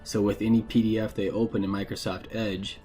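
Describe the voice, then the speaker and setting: Man, lower pitch, flat intonation, informal explanatory tutorial, plain delivery. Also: fairly quick, soft background music. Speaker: instructor giving a software tutorial. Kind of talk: casual screen-share tutorial narration.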